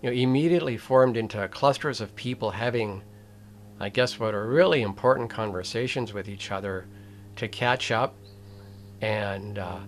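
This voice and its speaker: An older man hosting a commentary video, talking straight to the camera in a slow, reflective way, with pauses, restarts and a wry edge. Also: fairly low pitch, slight background noise. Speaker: video essay host.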